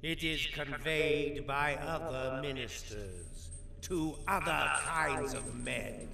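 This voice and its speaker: An actor playing a tormented, mournful ghost in a radio play, with solemn, drawn-out, wailing declamation. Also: male voice, medium pitch. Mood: weary, sorrowful. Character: tormented ghost of Scrooge's dead business partner, mournful, warning. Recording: roomy, long reverb, clearly noisy, rattling metal.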